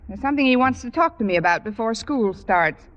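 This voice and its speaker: An American actress playing a wry, wisecracking English teacher with dry comic timing in an old radio comedy. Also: female voice, on the high side, expressive, fairly quick, a bit bright. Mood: dry, playful. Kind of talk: 1940s-50s radio comedy acting. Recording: muffled old radio recording, faint noise.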